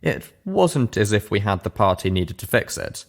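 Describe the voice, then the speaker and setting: A man with a low voice reads a story aloud as narrator. His delivery is that of scripted audiobook narration, pitched well down. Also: very animated, normal speed, vocal fry.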